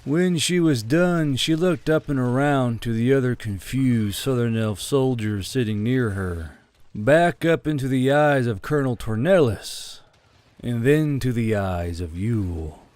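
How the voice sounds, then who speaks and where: A man's voice: medium pitch, a little slow, a little mellow, no room sound. A man reading a dramatic war story as an audiobook narrator, in a scripted storytelling style.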